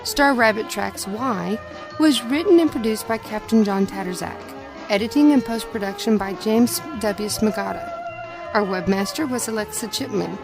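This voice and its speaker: A woman's voice: medium pitch, low-quality recording. A woman reading scripted credits as the announcer of an audio drama.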